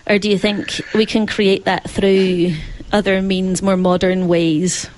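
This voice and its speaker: A woman's voice: medium pitch, level tone, unhurried, a little mellow, slight background noise, poor audio. A woman with a Scottish accent hosting a radio interview from a studio. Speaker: radio show host.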